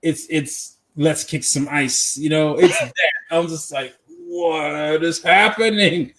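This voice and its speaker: A man co-hosting a podcast in casual movie chat, animated and emphatic, often repeating himself for effect. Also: a little high, slow pace, low-quality recording. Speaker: podcast co-host.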